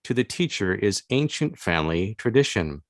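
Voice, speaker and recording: A man reading his own literary fantasy novel aloud as narration, with measured, deliberate phrasing and pauses. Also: fairly low pitch, very animated, no echo, studio quality. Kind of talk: literary audiobook-style narration of a fantasy tale.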